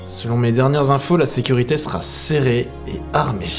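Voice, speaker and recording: Male actor as an eager young hacker, mid pitch, informal, slightly jittery, audio drama, soft music behind. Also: steady tone, moderate pace, natural voice. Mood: slightly nervous. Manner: informal, hesitant. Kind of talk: French audio drama acting.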